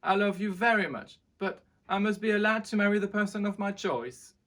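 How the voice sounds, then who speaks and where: A woman's voice: medium pitch, a little fast, fairly bright tone, pitched above the performer's own voice, lightly acted. A woman voicing a young storybook prince, earnest and loving, speaking out emphatically. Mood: earnest, defiant. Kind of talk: storybook character reading.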